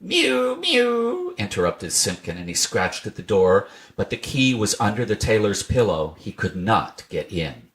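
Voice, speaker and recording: A man narrating a children's storybook in an expressive, scripted reading style. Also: sits low, normal speed, hardly any pauses. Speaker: storybook reader.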